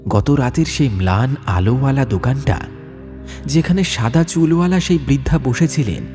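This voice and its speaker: A man's voice: medium pitch, normal speed, darkish tone. A man narrating a scripted ghost story as a dramatic audiobook. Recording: music bed behind narration.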